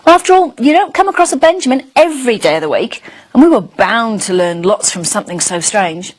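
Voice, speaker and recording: A woman voicing a curious, friendly young schoolchild dragon who narrates a storybook with wide-eyed, expressive emphasis. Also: on the high side, brisk. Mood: amazed, puzzled.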